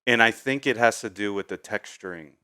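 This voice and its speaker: A man's voice: lowish voice, fairly quick, a crowd in the background. A man co-hosting a video game show, chatting casually about a film, agreeable and hesitant, often repeating his points. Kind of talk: casual film review chat.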